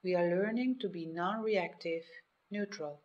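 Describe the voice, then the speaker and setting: Woman guiding a meditation, measured phrasing. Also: lowish voice, a little mellow, muffled recording. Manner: measured, instructional, short phrases with pauses. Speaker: mindfulness meditation guide. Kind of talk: guided meditation, scripted narration.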